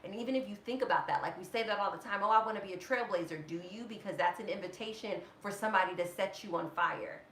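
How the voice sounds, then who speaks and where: A woman's voice: mid-range voice, quick pace, hardly any pauses, a bit bright. A woman in her thirties giving a motivational vlog talk, emphatic, repeating words and leaning on "like" fillers. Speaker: vlogger. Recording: echoey room with faint noise.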